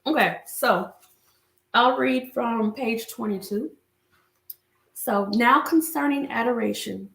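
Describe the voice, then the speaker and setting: A woman relationship coach chatting casually to a live audience and reading from her book, her voice a little raspy from a cough, with many restarts. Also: a little high, slow pace, pauses often, fairly bright tone, echoey room, low-quality recording.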